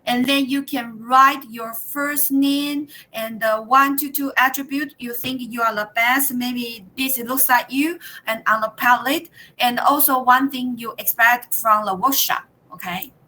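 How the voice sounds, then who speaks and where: A woman in her thirties with a Taiwanese accent runs an online teacher workshop over a video call. Her voice is bright and fairly high. She talks in halting bursts, full of fillers and restarts. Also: steady tone, low-quality recording. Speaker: teacher trainer leading a workshop.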